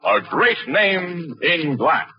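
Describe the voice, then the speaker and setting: A man's voice: on the high side, sing-song, takes it slowly. A man announcing in polished, emphatic 1940s radio style, reading scripted sponsor copy on a muffled old broadcast.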